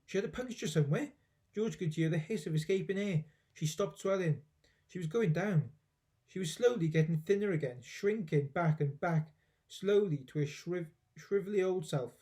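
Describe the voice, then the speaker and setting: Mellow, higher-pitched male storyteller. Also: lively intonation, moderate pace. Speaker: children's story reader. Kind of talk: expressive storybook narration.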